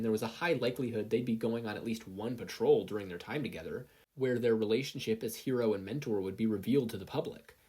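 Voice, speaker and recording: A narrator reading fiction in an expressive, scripted storytelling style. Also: medium pitch, breathy edge. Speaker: audiobook-style fan fiction reader.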